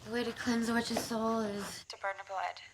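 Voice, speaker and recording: A woman's voice: fairly high pitch, steady tone, normal speed, background noise, close to an everyday voice. An actress playing a young woman in a horror film, in a clip with music behind her. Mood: grave.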